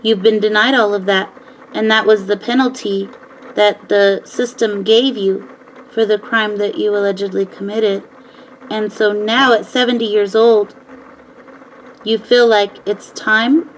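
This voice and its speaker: A woman interviewer asking measured, deliberate questions. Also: medium pitch, slight background noise, low-quality recording. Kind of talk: advocacy interview.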